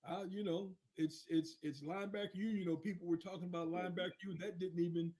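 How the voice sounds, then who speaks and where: A man's voice: high-pitched, fairly even intonation, fast, dark tone. A man in his fifties from North Carolina, a former football player, telling stories as a guest in an interview, earnest and often restarting his words. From North Carolina, grew up in New Jersey. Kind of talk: casual storytelling interview.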